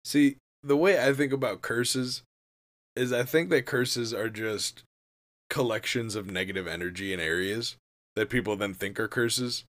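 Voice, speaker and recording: A man in casual chat, thinking out loud about the stories. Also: medium pitch, measured pace.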